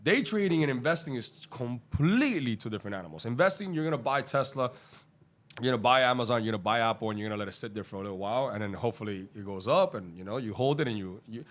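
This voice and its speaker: Man, from Cuba, podcast guest, casual, swears a lot, rambling, quick. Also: medium pitch, lively intonation, fairly bright tone. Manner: profane, rambling, talks fast with lots of fillers. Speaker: guest, day trader.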